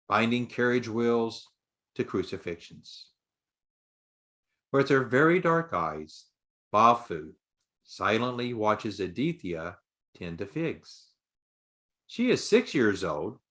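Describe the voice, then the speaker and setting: Slow, low-voiced male audiobook narrator. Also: a bit bright. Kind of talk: scripted story narration, read aloud.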